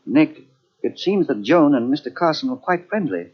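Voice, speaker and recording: An actor playing a calm detective asking probing questions, in a 1940s radio drama. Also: male voice, a little high, normal speed, breathy edge. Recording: dull lo-fi old radio recording.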